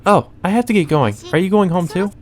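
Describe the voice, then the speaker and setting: Man putting on a high-pitched playful young sorceress. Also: lively intonation, quick pace. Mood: playful, hopeful.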